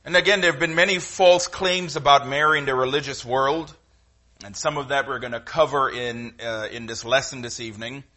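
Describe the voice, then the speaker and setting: A middle-aged man with a Trinidadian accent, preaching a sermon in a conversational, halting way with frequent fillers. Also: medium pitch, steady tone, sharp tone. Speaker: guest preacher.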